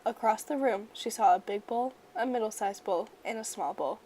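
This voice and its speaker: A woman reading a children's story aloud in an expressive, measured storyteller's manner. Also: fairly high pitch, a little hiss or hum, rough recording. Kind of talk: children's picture book read-aloud.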